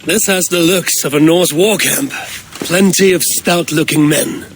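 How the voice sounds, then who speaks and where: An actor playing a confident, shrewd Norse warrior in a video game cutscene, with a dry, teasing manner. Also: male voice, on the high side, a little slow, a little hiss or hum. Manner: dry, teasing, self-assured. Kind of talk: video game cinematic acting.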